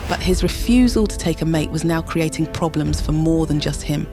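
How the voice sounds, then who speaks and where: A woman narrator with a low-pitched voice delivers scripted dramatic storytelling. Music plays clearly behind her. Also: normal speed.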